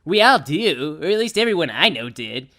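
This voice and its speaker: Woman voicing a bright, brash, animated young cartoon pony storyteller. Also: sits low, normal speed, exaggerated voice. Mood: dry, casual aside. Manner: childishly simple phrasing mixed with casual, sardonic slang. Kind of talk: irreverent storybook narration with slangy asides.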